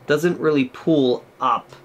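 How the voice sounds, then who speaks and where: A young man casually talking through painting a miniature, chatty and rambling, with a loud projected voice, in a small room at home. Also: fairly high pitch, slow. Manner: rambling, chatty, many fillers. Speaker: miniature painting channel host. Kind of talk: casual tutorial commentary while painting.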